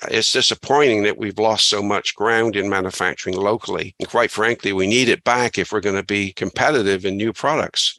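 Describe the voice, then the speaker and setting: Older English man with a bright voice. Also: fairly low pitch, dry recording. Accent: English, West Country. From England. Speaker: guest, manufacturing engineer. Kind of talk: interview answers.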